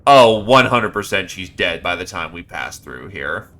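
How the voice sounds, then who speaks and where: A man streaming a horror game live, reading on-screen text aloud and breaking into loud, excited outbursts. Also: low voice, a little slow. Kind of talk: live gameplay commentary.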